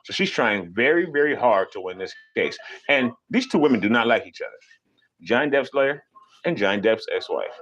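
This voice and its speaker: A man ranting on a casual live stream, animated and profane, mocking people with sudden high mimicking voices. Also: brisk, lo-fi sound.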